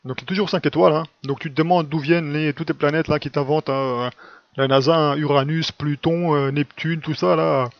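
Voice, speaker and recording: A man's voice: on the high side, steady tone, a little fast, no room sound. A man giving an informal, didactic spoken lesson in a colloquial style with frequent tag words, recorded close up with slightly dull, lo-fi sound. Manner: colloquial, didactic, frequent "hein" and "quoi" tags. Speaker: religious video teacher. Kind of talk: informal explanatory monologue.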